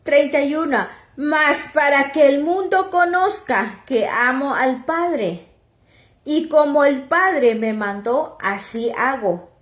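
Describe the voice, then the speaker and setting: A woman from Oaxaca whose first language is Chatino, slowly reading scripture and preaching, with halting restarts and self-corrections as she translates. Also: high voice, animated, reverberant. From Oaxaca, Mexico. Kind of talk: slow Bible reading and sermon, translated into Chatino.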